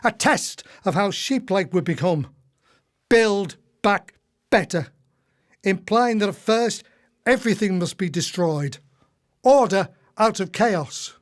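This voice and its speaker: A man's voice: high-pitched, animated, takes it slowly. A man playing an earnest, cynical retired husband in a radio play, speaking in a declamatory way. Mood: bitter ranting.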